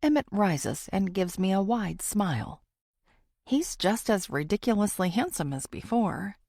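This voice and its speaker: A woman's voice: mid-range voice, sing-song, unhurried, vocal fry, a little mellow, dry recording, studio quality. A woman reading a first-person thriller audiobook as a scripted narration.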